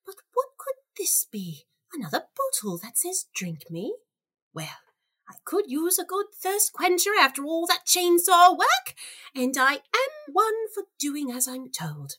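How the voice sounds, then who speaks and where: Bright, high-pitched, curious prim girl played by a woman. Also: wildly swooping pitch, measured pace, full character voice. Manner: theatrical, prim, exaggerated wonder. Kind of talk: comic audio storybook parody acting.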